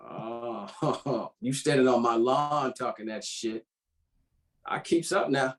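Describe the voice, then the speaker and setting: A man's voice: mid-range voice, takes it slowly, natural voice. A man playing a laid-back, bantering old lover in a drama script read, with an American accent and casual, slangy speech. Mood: defensive, bantering. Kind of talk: informal screenplay table read.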